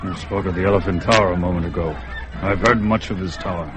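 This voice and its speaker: An actor playing a calm, bold young barbarian hero in a radio drama, speaking tersely and steadily. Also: male voice, sits low, fast, lightly acted.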